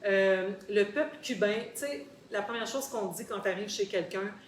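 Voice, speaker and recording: A Québécois woman speaks as a guest in a group discussion, in an articulate, explanatory way. Her voice sits at an average pitch and she repeats words for emphasis. The room is echoey, with faint noise behind her. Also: moderate pace. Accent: Québécois French. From Quebec, Canada. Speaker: guest sharing personal experience. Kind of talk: conversational storytelling in a group discussion. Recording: roomy echo, faint background noise.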